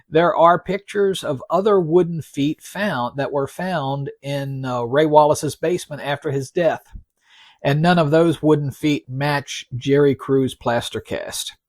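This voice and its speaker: A man hosting a podcast, narrating in a folksy, wry and measured way, reading out quotes with deliberate emphasis. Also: fairly high pitch, a little mellow, no room sound, clean studio sound. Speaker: podcast host. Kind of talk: narrated podcast storytelling with read-out article quotes.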